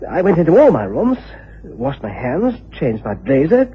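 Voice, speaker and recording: An actor playing an anxious young upper-class English student in a radio drama, stammering and fussy. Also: male voice, a little high, huge pitch swings, moderate pace. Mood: flustered. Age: young adult. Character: flustered, anxious young aristocratic male college student. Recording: muffled, hissy old radio recording.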